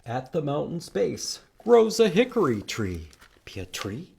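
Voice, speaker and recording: Animated, slow-paced man reading storytime. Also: on the high side, vocal fry, slightly rough. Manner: expressive, animated, asks listeners to join in. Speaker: children's storytime reader at a library. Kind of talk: read-aloud storytime with singing and prompts to children.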